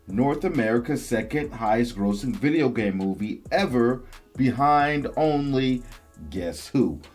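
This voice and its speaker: A man's voice: mid-range voice, darkish tone. A man hosting a video, giving casual, opinionated commentary on entertainment news in informal, slangy language. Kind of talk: casual commentary, reading an article aloud.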